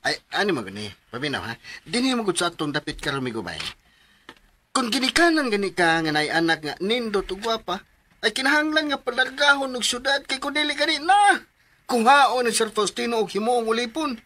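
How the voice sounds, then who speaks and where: A man playing an excitable, folksy village best friend with colloquial delivery in a Philippine radio drama. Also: very high voice, a little fast, background noise. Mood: confiding.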